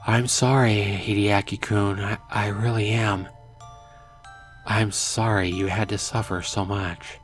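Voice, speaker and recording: A man voicing a gentle, apologetic young woman from a visual novel, softly and haltingly, with music behind. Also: lowish voice, little pitch movement. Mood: tearful, soothing.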